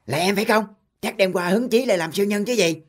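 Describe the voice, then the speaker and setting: A woman voicing a grumpy, suspicious older-brother pencil in a cartoon, with a husky voice. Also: mid-range voice, fast, lightly acted.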